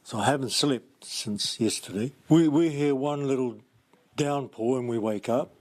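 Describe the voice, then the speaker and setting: A man's voice: medium pitch, a little slow, a little mellow. A man speaking unscripted as a local resident in a news interview.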